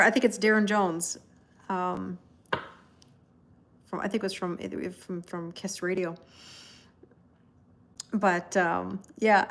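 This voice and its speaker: A woman's voice: mid-range voice, a bit bright. A woman from Canada chats her way through a tarot livestream, talking to her viewers. She rambles in loose, easygoing asides, laughing often and calling out viewers by name as she reads their comments. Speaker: tarot reader and livestream host. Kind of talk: casual livestream chat.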